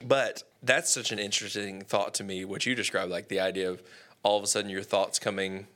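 A man in his thirties hosting a casual conversation, reflective and animated, his voice jumping higher when he gets excited. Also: a little fast.

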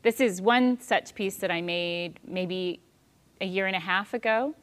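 A woman in her thirties giving a calm, thoughtful spiritual talk on prayer, with soft pauses. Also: mid-range voice, smooth.

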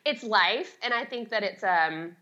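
A woman's voice: medium pitch, lively intonation, measured pace, bright, forceful tone, lo-fi sound. A middle-aged woman talking casually as an expert guest in an interview, with a chatty, emphatic, self-deprecating way of explaining. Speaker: guest, home organizing expert.

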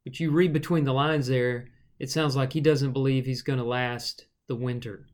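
A man's voice: mid-range voice, steady tone, normal speed. A man co-hosting a casual Bible study discussion, musing aloud in a rambling, thoughtful way with the odd joke. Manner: thoughtful, rambling, jokey asides. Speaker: podcast co-host.

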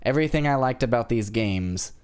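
A man's voice: medium pitch, expressive, a little fast, darkish tone, clear, resonant tone. A man on a discussion panel, sharing his preferences in casual conversation. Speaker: podcast panelist. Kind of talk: casual chat.

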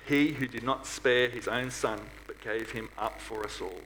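A man's voice: mid-range voice, measured pace, pushed and bright, soft background music, low-quality recording. A man preaching a sermon to a congregation in an earnest manner, often putting rhetorical questions to his listeners. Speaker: preacher at a church.

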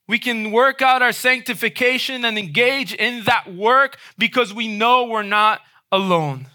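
A man preaching a sermon in a conversational way, often checking in with a quick "right?". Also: very high voice, sharp tone. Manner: conversational, frequent "right?" tag questions.

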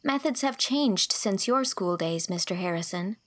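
A woman's voice: mid-range voice, lively intonation, measured pace, lightly acted. A woman voicing an idealistic young schoolteacher who speaks earnestly and indignantly.

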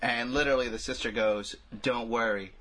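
Male podcast co-host, raspy but bright, casual and meandering, talking movies with his buddies. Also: mid-range voice, fairly even intonation, moderate pace. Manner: rambling, crude humor, frequent "like" and "you know". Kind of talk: casual film discussion among friends.

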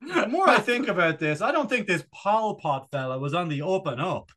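Animated rambling man bantering. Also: high voice, moderate pace. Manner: rambling, digressive, jokey. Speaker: podcast co-host. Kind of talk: casual comedy banter.

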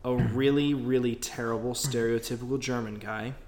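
A man's voice: mid-range voice, fairly even intonation, normal speed, a little mellow. A man co-hosting a casual podcast who answers with dry, wry remarks. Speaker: podcast co-host. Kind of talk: casual chat.

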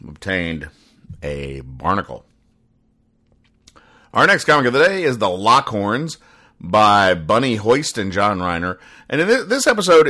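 A man hosting a comedy podcast, explaining things in a deadpan, pedantic, halting way with earnest over-explanation. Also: fairly low pitch. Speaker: podcast host.

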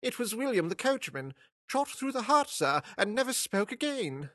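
A man's voice: very high-pitched, very animated, moderate pace, fairly bright tone, no room sound, clean studio sound, pitched above the performer's own voice, heavily acted. A man reading an audiobook voices a flustered, deferential butler bringing urgent news.

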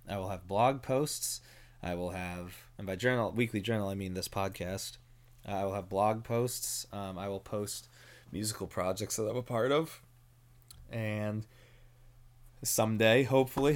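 Mumbling young man rambling on a podcast. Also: on the low side. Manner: mumbled, barely moves his jaw, unhurried ramble; frequent ums and self-corrections. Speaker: podcast host. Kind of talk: rambling solo podcast monologue. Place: at home with his cats.

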